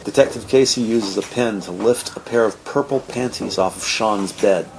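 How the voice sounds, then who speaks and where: A man's voice: lowish voice, moderate pace, noisy background. A man narrating an audio drama in a scripted, descriptive storytelling style.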